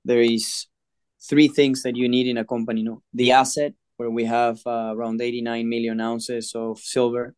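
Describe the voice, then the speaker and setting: Flat-toned businessman with halting speech. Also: mid-range voice, clear, resonant tone, no echo, clean studio sound. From Peru. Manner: measured, frequent filler pauses. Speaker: mining company chairman. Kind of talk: podcast interview.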